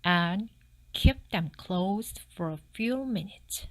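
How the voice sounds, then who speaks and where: Woman playing a firm, slow-speaking male storybook dentist. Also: mid-range voice, animated, rough recording. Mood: instructing. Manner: firm, measured, formal. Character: firm, serious male dentist, crafty and polite.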